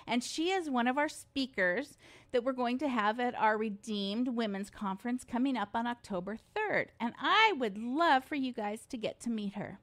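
A woman's voice: fairly high pitch, fairly bright tone. A bubbly, enthusiastic woman hosting a podcast, chatting with her guest and laughing often. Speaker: podcast host, pastor's wife. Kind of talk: casual interview chat.

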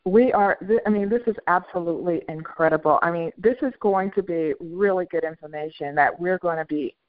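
A woman's voice: lowish voice, a little fast, phone-quality sound. A woman hosting a talk show, reacting effusively with frequent exclamations of amazement. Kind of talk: interview hosting.

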